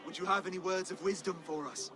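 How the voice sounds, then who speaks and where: A man's voice: sits high, fairly even intonation, fast talker, over music, close to an everyday voice. A man playing an earnest, grateful Saxon king in a video game, speaking formally. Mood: celebratory. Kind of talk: dramatic video game acting.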